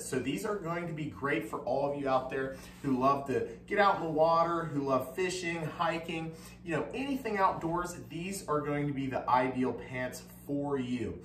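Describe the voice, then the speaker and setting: A man's voice: a little high, a bit bright, a little hiss or hum. A young man in his twenties giving an upbeat, casual product review at home, with lots of fillers as he walks around demonstrating. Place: home kitchen, indoors. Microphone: camera mic from across the room. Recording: roomy kitchen, voice from a distance.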